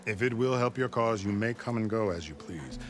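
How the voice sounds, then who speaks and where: A man's voice: lowish voice, lively intonation, fairly quick, natural voice. A man playing a haughty, calculating military overseer in a video game, speaking formally and pompously. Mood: smug, commanding. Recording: music behind the voice.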